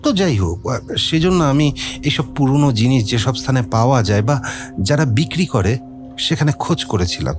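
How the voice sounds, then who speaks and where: An actor playing a nervous, talkative gentleman in an audio drama, hesitant and rambling. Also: mid-range voice, lively intonation, moderate pace, over music, close to an everyday voice. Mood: chatty, explaining. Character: nervous, talkative middle-class Bengali gentleman with a mystery.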